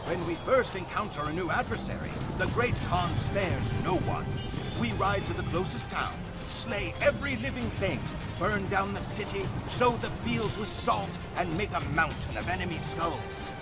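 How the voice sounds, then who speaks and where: A grave Mongol chronicler. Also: male voice, fairly high pitch. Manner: grave, measured storytelling. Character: male Mongol chronicler narrating war history. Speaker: narrator. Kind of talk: scripted game cutscene narration. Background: music.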